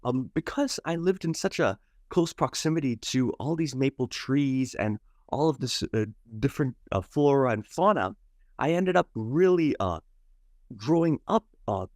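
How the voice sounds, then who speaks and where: Polite earnest guy being interviewed, really animated intonation, wordy and a bit halting, close dry recording. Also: mid-range voice, no echo. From Toronto, Canada, born in Mumbai, India. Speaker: interview guest, environmental scientist. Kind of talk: conversational personal storytelling.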